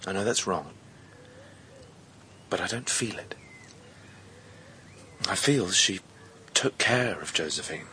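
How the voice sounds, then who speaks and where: A brooding young Englishman in a radio play, low and sharp-edged in tone. He speaks gravely and intimately in clipped phrases, with quiet, hardened resolve, over faint background noise. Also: animated, slow, pauses often, heavily acted. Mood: quietly resolute. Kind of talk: radio drama acting.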